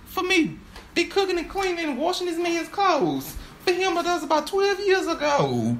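A woman performing an improvised stage-play monologue, halting and stammering as she repeats her phrases. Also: extremely high pitch, sing-song, a little hiss or hum.

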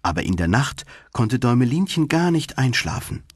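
A man narrating a fairy tale for an audiobook, expressive and deliberate in his storytelling. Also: mid-range voice, slightly rough, no room sound, studio quality.

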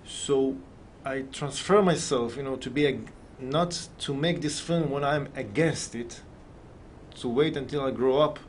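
Israeli guy in his 30s, mid pitch, loose non-native english, interview guest, faint noise. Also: measured pace. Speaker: film director, interview guest. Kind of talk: conversational interview answers. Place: TV studio.

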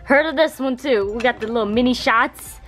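A woman's voice: on the high side, a little fast, fairly bright tone, faint music behind. A young woman filming a casual vlog, talking in a chatty, slangy way to viewers and her partner while walking through a hotel room with a handheld camera. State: voice raspy from just waking up. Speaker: vlogger.

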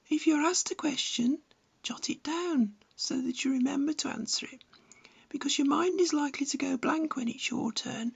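An older woman in her seventies delivers scripted instructional narration. Her voice sits high and has a noticeable creak. She phrases each point precisely and deliberately, like a teacher. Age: 70s. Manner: measured, didactic, precise phrasing. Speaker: amateur radio enthusiast and instructor. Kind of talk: scripted narration giving practical advice.

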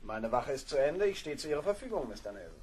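An actor in a radio play playing a courteous young ship's mate who speaks politely and formally. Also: male voice, medium pitch, big pitch swings, quick pace, a little mellow, slight background noise, natural voice. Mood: courteous, good-humoured.

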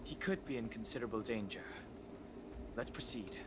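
An actor voicing a serious, cautious young man in a video game, measured and reserved. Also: medium pitch, lightly acted. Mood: grave. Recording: game audio with loud background noise.

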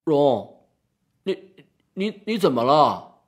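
A man's voice: on the high side, sing-song, slow, heavily acted. A man playing a tipsy, awkward, anxious husband in an audio story, stammering and hesitant with a thick tongue. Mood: tipsy, concerned. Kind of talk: audio horror story dramatization.

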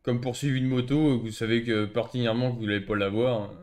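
Fast-talking blunt man. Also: medium pitch, fairly even intonation, a little mellow. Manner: blunt, mocking, slangy. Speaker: streamer playing online roleplay game. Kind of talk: casual in-game roleplay banter.

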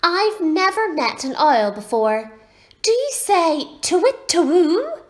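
Storybook little girl acted by a woman, high piping voice, animated, proud and assertive know-it-all. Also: takes it slowly, exaggerated voice. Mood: pert, scolding. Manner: pert, assertive, exclaiming.

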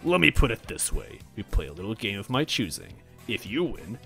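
Storybook monster king, played by a male narrator: huge, bombastic, booming, slangy and sly, swinging widely in pitch over music. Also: sing-song, moderate pace, bright, forceful tone, heavily acted. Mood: sly, goading. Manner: casual slangy speech with swaggering showmanship.

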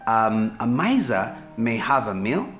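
A pastor in his forties with a Ugandan accent, giving a relaxed, talkative sermon to the camera from a sofa, gesturing as he repeats words while he thinks aloud. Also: fairly low pitch, takes it slowly, faint music behind. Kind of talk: conversational teaching sermon to camera. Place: small room, seated on a sofa indoors. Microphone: camera at a few feet, medium shot. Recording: echoey room with faint hiss.

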